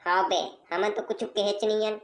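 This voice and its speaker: A woman's voice: medium pitch, level tone, moderate pace, natural voice. Woman voicing a stubborn, boastful Chhattisgarhi village boy. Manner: argumentative, bossy, colloquial. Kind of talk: comic cartoon dialogue. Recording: loud music under the voice.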